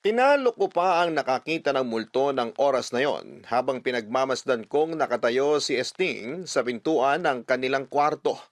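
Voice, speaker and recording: A man's voice: mid-range voice, animated, moderate pace, few pauses, no room sound. A man with a Filipino accent giving dramatic scripted narration as the storyteller of a radio drama.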